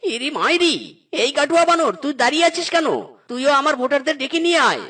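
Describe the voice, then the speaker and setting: A man plays a scrappy cartoon animal running for headman. The voice is high and cocky, bossing helpers about, a little distant from the mic. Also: animated, a little fast, sharp tone, exaggerated voice. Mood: cocky, bossy. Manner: cocky, bossy. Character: cocky challenger for forest headman, scrappy and bossy. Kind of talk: comic cartoon dialogue.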